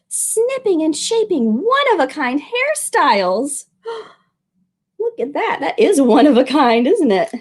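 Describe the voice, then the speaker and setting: A woman with a high, very lively voice hosts a children's storytime. She speaks like a librarian sharing a picture book, expressive and animated, addressing her young audience directly. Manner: animated, expressive, with exclamations and questions to young listeners. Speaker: children's librarian reading aloud.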